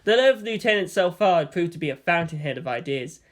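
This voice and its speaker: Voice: mid-range voice, lively intonation, a little fast. A storyteller reads fiction aloud in a mid-range voice. The delivery is that of a dramatised audiobook narrator. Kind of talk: dramatised fan fiction reading.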